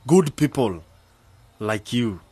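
An earnest young village organizer who talks people into his plan, his voice husky and lively. It is a radio drama recorded dry in a studio. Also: mid-range voice, slow pace, no room sound. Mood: persuasive.

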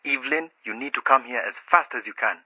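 A man's voice: mid-range voice, fast talker, bright, forceful tone, no room sound. An actor playing a grave, insistent man calling from a police station in a radio drama, curt and urgent, through a telephone filter. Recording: raspy band-limited phone call.

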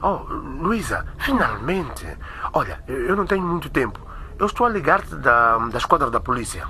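A man playing an anxious, evasive husband and father in a radio drama, speaking in an urgent, halting, pleading way. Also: mid-range voice, big pitch swings, noisy background. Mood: hurried relief.

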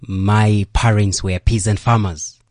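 Animated young man from Zambia. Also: on the low side, takes it slowly, no echo, clean studio sound. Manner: animated storyteller, repeats words. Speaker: guest, leadership coach. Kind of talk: storytelling interview. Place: radio studio.

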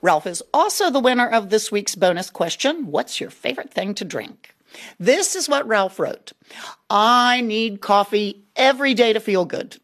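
A woman hosting a scripted radio programme in a chatty, expressive way. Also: medium pitch, normal speed. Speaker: radio show host. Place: radio studio.

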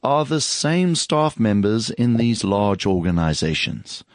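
A man with a hoarse, husky voice, speaking as a guest on a studio radio show, reflective and hesitant, with many fillers. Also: on the low side, very animated, a little slow, dry recording. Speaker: bank executive guest. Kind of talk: casual radio chat.

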